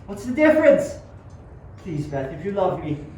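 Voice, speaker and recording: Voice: mid-range voice, sing-song, moderate pace, mellow, lightly acted. Student acting anguished scientist in a cavernous echo. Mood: urgent pleading. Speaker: amateur student performer and narrator. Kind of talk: amateur radio play acting and narration. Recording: very echoey, distant, faint noise.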